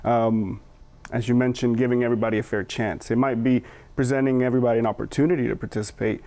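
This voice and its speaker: A man speaking as a panelist, thoughtful and hesitant, pausing often to find his words. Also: medium pitch, fairly even intonation, dark tone. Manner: thoughtful, hesitant, with many fillers and restarts. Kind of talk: reflective panel discussion.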